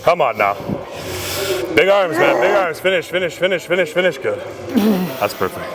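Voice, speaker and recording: A man's voice: fairly high pitch, very animated, moderate pace, a loud crowd, rough recording. A young Canadian man coaching a training partner through reps in a gym, pushing him on with short urgent calls. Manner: urgent barked encouragement. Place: gym with loud music. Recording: loud music behind.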